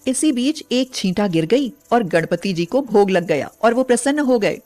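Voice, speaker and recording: A woman's voice: on the high side, lively intonation, moderate pace, a little mellow. A woman narrating a scripted bedtime story as a storyteller.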